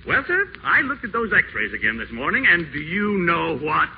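A giggling fawning old country doctor, raised pitch, lively swooping voice, muffled old radio with faint hiss. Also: male voice, normal speed, creaky. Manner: chuckling, fawning, flustered. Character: giggly, eager older small-town male doctor. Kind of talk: 1940s radio acting.

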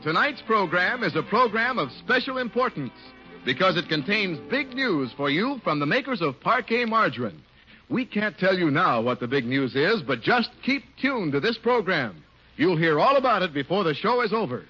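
An American male announcer delivers scripted 1950s radio copy with polished emphasis. His fairly high voice moves in lively swings over clear music, on a muffled vintage recording. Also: normal speed.

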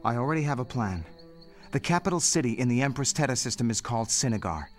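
An actor playing a headstrong young Jedi knight, earnest and intense, in an audio drama. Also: male voice, mid-range voice, lively intonation, fast, faint music behind, natural voice. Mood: confident.

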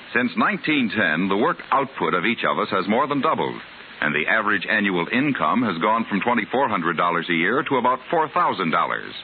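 A man's voice: on the low side, phone-quality sound. A man announcing formally from a script in the style of 1950s radio. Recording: thin, hissy old radio recording.

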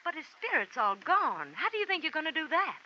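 Bright, coquettish young horse owner's daughter. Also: female voice, sits high, big pitch swings, fairly quick, exaggerated voice. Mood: sweet. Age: young adult. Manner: coquettish, sweet-talking. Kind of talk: 1940s radio acting. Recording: dull, noisy 1940s radio recording.

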